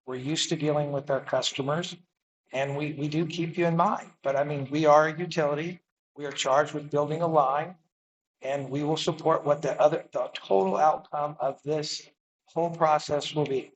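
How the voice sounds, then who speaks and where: A man speaking for a utility at a public meeting, defensive and halting, often repeating his words. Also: fairly high pitch, steady tone, lo-fi sound, slight background noise. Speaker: utility representative.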